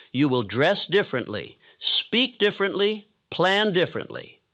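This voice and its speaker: A man giving a calm, steady, measured narration in the solemn manner of a motivational sleep audiobook. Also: high voice, no echo, muffled recording.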